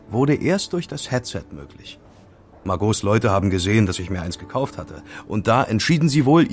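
An actor playing a casual amateur sleuth who recounts intrigue in a wry, conversational way in an audio drama. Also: male voice, on the low side, close to an everyday voice. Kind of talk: German audio drama dialogue.

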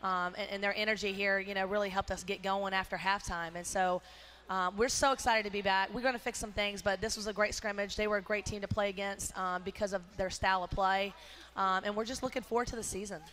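A middle-aged woman, a basketball coach, talking in a postgame interview on a headset mic at courtside, energetic and emphatic with many fillers. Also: mid-range voice, fairly quick. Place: courtside in an indoor arena.

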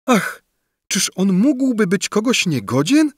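A man's voice: mid-range voice, very animated, measured pace, dry recording, studio quality, pitched above the performer's own voice. A man reading a storybook and voicing a sweet, adoring young princess who gushes.

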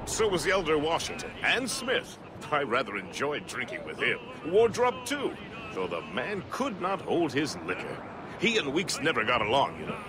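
An actor playing a jovial, talkative first mate on a sailing ship, chatty and full of banter. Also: male voice, on the high side, moderate pace. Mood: reminiscing. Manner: chatty, lively sailor banter. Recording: loud wind and sea noise.

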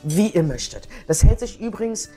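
A woman's voice: mid-range voice, sing-song, normal speed, dark tone, soft background music. A middle-aged woman chatting casually as she demonstrates a recipe in a home kitchen. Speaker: home cook presenting a recipe. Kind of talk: casual cooking demonstration chat.

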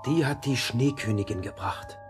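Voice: mid-range voice, normal speed, music in the background, lightly acted. A performer playing a bold, imaginative little boy in a fairy-tale audio drama, confident and eager.

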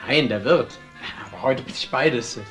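Restaurant landlord , male actor: excitable, suspicious, lively bright delivery for radio drama, music behind. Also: mid-range voice, moderate pace, natural voice. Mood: helpful, flustered. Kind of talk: crime radio drama acting.

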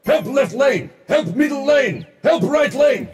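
Cocky high-energy mech-suit warrior. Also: male voice, expressive, measured pace, a little mellow, raised voice, slight background noise. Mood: urgent. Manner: boastful, punchy, clipped callouts. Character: cocky male futuristic mech-suit warrior, smug and wisecracking. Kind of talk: video game voice pack barks.

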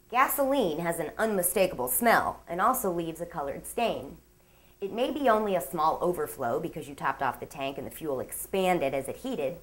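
A woman hosts a boating show in an upbeat, scripted read. Her voice sits on the low side, and her pitch swings widely. She slips in playful asides between segments. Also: fairly bright tone. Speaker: show host. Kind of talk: scripted magazine-show narration.

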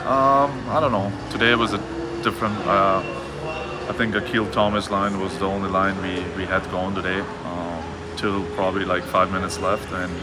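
A man in his forties with a German accent, a hockey coach answering postgame questions in halting speech full of fillers and restarts. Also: low voice, flat delivery. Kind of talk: postgame press interview. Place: arena hallway, indoors. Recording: loud background noise.

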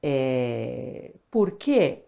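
A woman's voice: a bass voice, drawn-out words, gravelly. An older woman from Argentina, a child education expert chatting animatedly as an interview guest, recorded on a lapel mic in a small studio. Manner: animated, gestures a lot, tag questions. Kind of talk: casual interview chat. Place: small studio, seated on a sofa.

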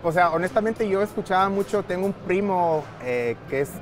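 A young man from Guatemala, a guest musician, chatting in a relaxed, easygoing way outdoors on a city street. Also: high-pitched, normal speed, over music. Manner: easygoing, laid-back, chatty. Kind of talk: casual interview chat.

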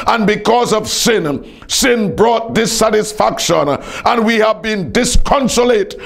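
Male preacher, high voice, heavy gravelly creak, emphatic declamatory sermon delivery, repeated phrases.

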